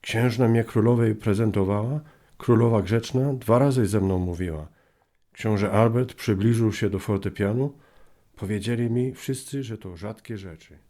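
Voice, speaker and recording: A man's voice: lowish voice, moderate pace, dark tone, lightly acted. A man playing a Polish composer reading out his letters in a radio play. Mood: composed.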